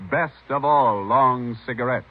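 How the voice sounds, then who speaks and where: A man's voice: medium pitch, unhurried, over a noisy crowd. A man announcing in a formal, scripted 1950s radio style. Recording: tinny old radio recording.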